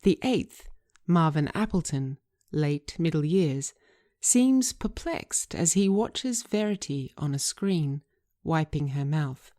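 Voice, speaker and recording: A woman reading scripted screenplay narration and stage directions in a measured, deliberate manner. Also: sits low, animated, dark tone. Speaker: writer reading own script.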